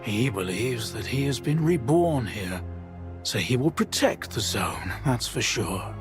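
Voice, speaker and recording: An actor playing a philosophical older doctor in a video game, speaking in a measured, solemn, cryptic way. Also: male voice, medium pitch, lively intonation, music in the background, natural voice. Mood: solemn, musing. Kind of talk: dramatic video game cutscene acting.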